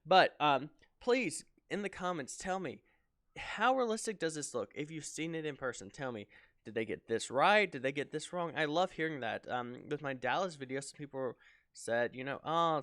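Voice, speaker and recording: A man casually commenting on a flight simulator showcase in a relaxed, rambling way, full of ums, his voice slightly raspy. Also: a little high, fairly quick, no echo, clean studio sound. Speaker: flight sim video host.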